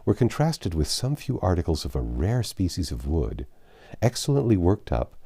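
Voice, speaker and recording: Male narrator, low voice, lively intonation, measured expressive delivery, literary audiobook reading.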